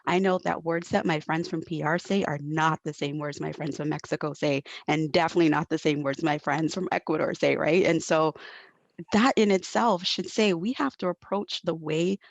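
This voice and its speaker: A woman's voice: low voice, steady tone, moderate pace, creaky, dry recording. A woman from Barbados speaking as an expert panelist, articulate and emphatic, repeating phrases for weight. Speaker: panelist, mental health professional. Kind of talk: panel discussion, explanatory advocacy.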